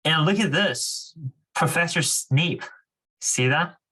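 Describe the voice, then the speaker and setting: A man's voice: on the high side, slow, bright, forceful tone, husky, poor audio. A young man casually explaining and reading aloud to children as a reading club leader, with an informal way of talking peppered with "like" and "you know".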